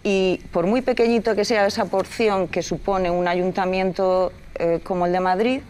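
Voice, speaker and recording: A woman's voice: medium pitch, fairly even intonation, normal speed, darkish tone, a little hiss or hum. A woman in her forties from Castilla-La Mancha, a guest in a TV studio interview, explaining earnestly with many fillers. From Castilla-La Mancha, Spain. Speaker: environmental activist guest. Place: TV studio set, leather armchair.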